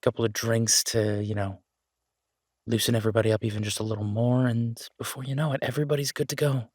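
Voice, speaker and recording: A man's voice: medium pitch, level tone, fast talker, darkish tone, no room sound, studio quality, lightly acted. A man playing an easygoing, affectionate chef in an audio roleplay, talking in a casual, folksy, reassuring way. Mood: relaxed, affectionate. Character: easygoing down-home male chef, affectionate restaurant owner.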